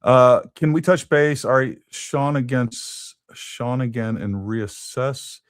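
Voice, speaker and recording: A man's voice: medium pitch, steady tone, slow pace, no echo, studio quality. A man hosting a casual political talk show, thinking aloud and repeating words as he goes. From Texas. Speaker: podcast host.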